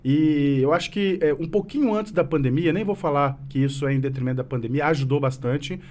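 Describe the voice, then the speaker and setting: A man in his late thirties with a mineiro Brazilian Portuguese accent that has softened over the years. His voice sits fairly high for a man. He talks earnestly and at length, restarting phrases and leaning on fillers as he answers. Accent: mineiro Brazilian Portuguese, fading. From Minas Gerais, Brazil. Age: 30s. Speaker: business association president, guest. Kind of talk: casual interview conversation.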